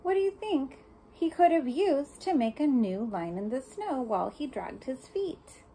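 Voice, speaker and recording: A woman with a fairly high voice reads a picture book to young children. She takes her time and swings widely in pitch, stopping to address the listeners with questions and asides. There is clear noise behind her. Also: a little slow. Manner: expressive, questioning the listener, speaking as to small children. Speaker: storybook reader for young children.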